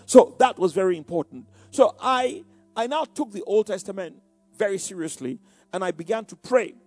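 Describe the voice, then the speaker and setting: A man's voice: high-pitched, animated, normal speed, darkish tone, dry recording, faint music behind. An older man with a Nigerian Yoruba accent, preaching and recounting his past, who often repeats words and says "you know". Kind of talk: sermon-like personal testimony.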